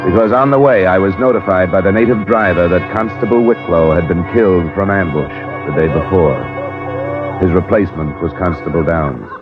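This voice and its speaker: A male actor as a tough American insurance investigator with a low voice, reporting in a matter-of-fact, businesslike way. It is classic radio detective drama, on a muffled old recording with loud music. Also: a little fast, natural voice. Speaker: lead actor and narrator. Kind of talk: 1940s-50s radio detective acting, clipped report narration. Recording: dull, band-limited old radio recording.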